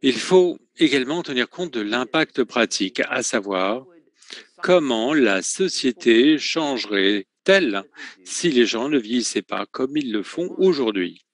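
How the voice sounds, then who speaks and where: A man giving a French voice-over of a conference talk, speaking in a measured way with frequent hesitations and self-corrections. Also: mid-range voice, animated.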